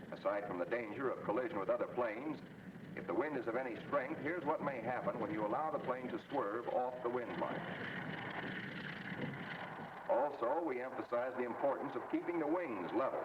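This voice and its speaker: An American man delivers scripted 1940s training film narration as an instructor, in a measured, didactic, clearly articulated way. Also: mid-range voice, distant mic. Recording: muffled, noisy, roomy vintage film soundtrack.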